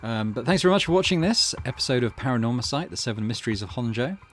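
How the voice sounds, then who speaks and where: A man's voice: medium pitch, animated, fast. A man hosting a gaming channel, giving casual let's play commentary and thinking aloud hesitantly. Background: game music.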